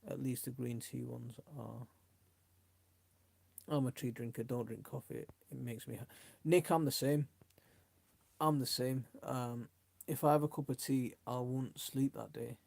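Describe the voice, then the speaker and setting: A man's voice: medium pitch, steady tone, unhurried, gravelly, a little mellow, dry recording. A middle-aged man with a Yorkshire accent chatting casually and informally as the host of a live stream, rambling along and reading out comments in a chummy way. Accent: Yorkshire English. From South Yorkshire, England.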